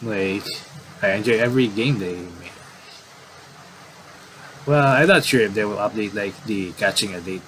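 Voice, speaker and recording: A man doing casual game commentary and reading aloud, in a halting, rambling way with repeated words. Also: lowish voice, background noise.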